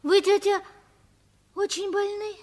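A woman's voice: very high voice, fairly even intonation, slow pace, a little mellow. An actress playing a polite, curious nine-year-old boy in a vintage children's radio drama. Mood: timid, curious. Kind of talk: 1960s Soviet children's radio drama acting.

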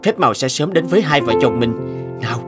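A man's voice: medium pitch, lively intonation, fast talker, close to an everyday voice. A man voicing a suited husband and company boss in a cartoon, affectionate but prone to suspicion. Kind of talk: Vietnamese cartoon dubbing. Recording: music under the voice.

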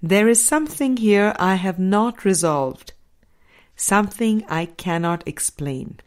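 A woman reading a scripted spiritual audiobook in a measured, deliberate way, pausing between phrases. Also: mid-range voice, animated. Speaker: audiobook reader.

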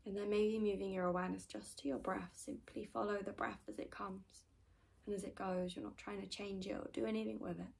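Soft-spoken woman guiding meditation, creaky voice. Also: mid-range voice, little pitch movement, brisk. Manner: gentle, soothing, often trailing into breathy whispers. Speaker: meditation guide.

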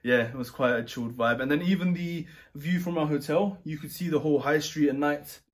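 Relaxed man chatting casually. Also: on the high side, fairly quick. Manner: rambling, relaxed, many fillers and restarts. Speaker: travel vlogger. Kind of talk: casual chat.

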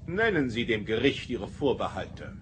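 An actor playing an imperious, mocking alien judge with theatrical, commanding manner in a science-fiction radio play. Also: male voice, medium pitch, big pitch swings, moderate pace, noisy background, lightly acted. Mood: suspicious, mocking. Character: imperious, mocking all-powerful alien judge in male form.

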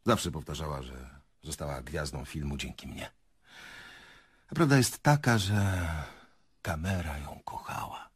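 A man's voice: rumbling low, animated, measured pace, long pauses, breathy edge, dry recording, clean studio sound, heavily acted. An actor playing an older male theatre director in a radio play, earnest, hesitant and reflective. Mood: reflective, confessional.

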